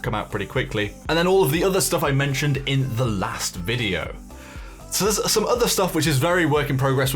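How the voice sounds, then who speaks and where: A man's voice: medium pitch, fairly bright tone. Casual man hosting gaming commentary over music. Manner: informal, tentative, thinking aloud. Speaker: gaming video host. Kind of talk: casual speculative game news commentary. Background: music behind the voice.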